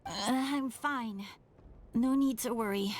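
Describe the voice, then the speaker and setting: A woman's voice: a little high, takes it slowly, background noise. A woman playing an earnest, alert young scout girl in a video game, speaking eagerly. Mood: reassuring.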